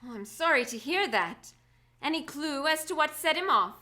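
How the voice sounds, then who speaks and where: Woman playing a high-voiced, poised vigilante socialite. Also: lively intonation, moderate pace, sharp tone. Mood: sociable, coy. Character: poised, bold socialite woman turned masked vigilante heroine. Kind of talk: 1940s-style radio drama acting.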